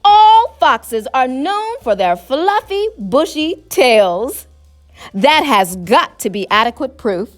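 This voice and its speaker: A woman's voice: sits high, sing-song, slow, full character voice. A woman voicing a vain, pompous, easily flustered male fox in a storybook, speaking in wordy, indignant phrases, with music behind. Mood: boastful. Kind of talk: storybook character voice.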